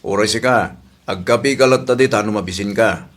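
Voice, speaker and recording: A man's voice: on the low side, moderate pace, close to an everyday voice. A Filipino-accented man playing a stern, matter-of-fact man of the house in a comedy drama. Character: reserved, no-nonsense male employer, man of the house. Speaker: actor.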